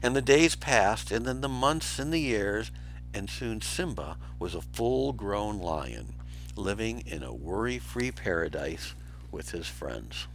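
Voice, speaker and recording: Animated male storybook reader, lowish voice. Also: slow, soft background music, rough recording. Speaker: storyteller reading to pupils. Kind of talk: expressive children's storybook narration.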